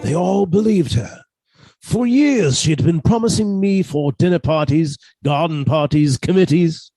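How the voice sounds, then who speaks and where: A man's voice: on the high side, unhurried, warm tone, soft background music. A man playing a henpecked husband turned murderer in a radio suspense drama, fussy, precise and politely menacing. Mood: coolly confiding.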